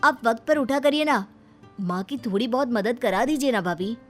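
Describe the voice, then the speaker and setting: A woman's voice: on the high side, animated, normal speed, soft background music. A woman voicing an earnest, frustrated young man in a cartoon family story, pleading and indignant on his mother's behalf.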